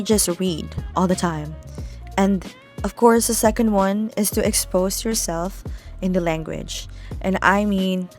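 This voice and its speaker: A young woman from the Philippines with a Filipino accent, a native Tagalog speaker, chatting candidly to camera as a vlogger. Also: on the low side. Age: young adult. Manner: chatty, candid, sprinkled with likes and asides. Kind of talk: casual talk to camera.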